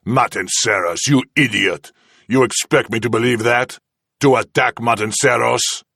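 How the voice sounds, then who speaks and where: A man's voice: mid-range voice, measured pace, vocal fry, pushed and bright, no echo, clean studio sound, pitched above the performer's own voice, full character voice. A man voicing a cruel, sneering commander who taunts a prisoner in a mocking, singsong way. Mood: angry. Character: cruel sneering Spanish commander, menacing, toying.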